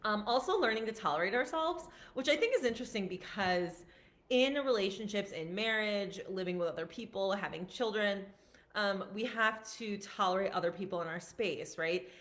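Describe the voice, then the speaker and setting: Loud, projecting woman in a live chat. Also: medium pitch, lively intonation, moderate pace. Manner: chatty, many fillers and restarts. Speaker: physician and life coach. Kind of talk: casual live-stream talk.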